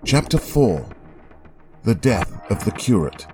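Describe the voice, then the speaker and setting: A man giving a measured, grave reading of a classic novel as an audiobook narrator. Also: fairly low pitch, very animated, a little mellow, faint music behind. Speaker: audiobook reader.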